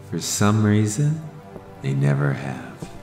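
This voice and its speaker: Sinister male predator, acted: very deep, animated, slyly teasing, audio drama with long echoing reverb. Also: exaggerated voice. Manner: sly, teasing, ominous. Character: sinister, bloodthirsty male stalker, slyly playful. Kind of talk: audio drama dialogue and narration. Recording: echoey long reverb under loud music.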